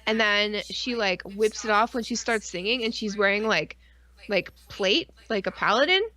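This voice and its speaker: A woman co-hosting a stream in casual, rambling fan chat, excitable and full of slang and swearing. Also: medium pitch, moderate pace, poor audio. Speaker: podcast and stream co-host.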